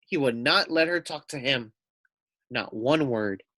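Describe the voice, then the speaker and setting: A man's voice: mid-range voice, animated, measured pace, sharp tone, no echo. A man reading a children's story aloud as a teacher, with chatty asides and questions to his young listeners. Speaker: teacher reading to first graders.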